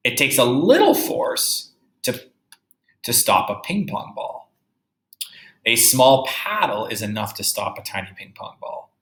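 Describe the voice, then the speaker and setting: Animated male teacher reading aloud in echoey room. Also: medium pitch, measured pace, gravelly. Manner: musing aloud, addressing children directly with questions. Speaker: third-grade teacher. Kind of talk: read-aloud lesson with think-aloud commentary. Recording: roomy, echoey indoor sound.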